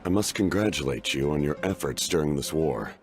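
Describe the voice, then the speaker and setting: Grave military captain from a video game, super deep voice, restrained and polite. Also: male voice, animated, brisk, a little hiss or hum. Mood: grave, respectful. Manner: formal, restrained. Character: grave, stoic, courteous male military captain. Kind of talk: scripted video game voice acting.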